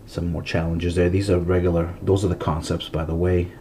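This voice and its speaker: A man's voice: sits low, little pitch movement, a little fast, dark tone, slight background noise. A man with a stuffy nose showing his toy car collection at home in a rambling, chatty way, full of fillers and restarts. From Massachusetts, USA. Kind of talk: casual vlog.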